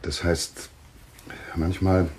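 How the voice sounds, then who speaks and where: Low, grave radio-drama doctor. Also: male voice, flat delivery, a little mellow. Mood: explaining. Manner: deliberate, explanatory, emphatic. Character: composed, authoritative male doctor, grave and insistent. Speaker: actor as a doctor. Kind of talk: German radio thriller acting. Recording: slight background noise with clicks.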